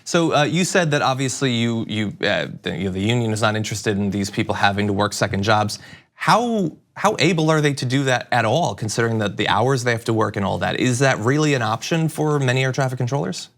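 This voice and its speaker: A male talk show host with an average-pitched voice conducts a news interview. He is engaged and conversational, phrasing questions at length as he thinks them through aloud. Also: lively intonation, fairly quick, fairly bright tone.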